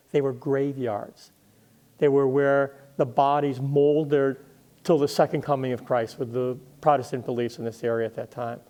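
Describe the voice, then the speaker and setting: A man speaking as a guest historian, explaining literature and history in deliberate, emphatic phrases with pauses. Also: on the high side, mellow.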